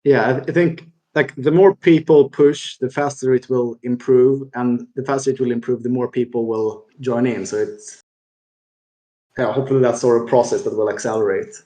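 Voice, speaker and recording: A man's voice: medium pitch, fairly even intonation, normal speed, warm tone. Casual male host in an echoey room. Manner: casual, asks questions and reads audience questions. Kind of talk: casual online interview.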